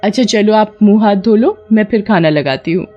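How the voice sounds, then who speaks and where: A woman voicing a caring village wife who speaks in a homely, concerned way in an animated story. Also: medium pitch, moderate pace, dull audio, faint music behind, close to an everyday voice.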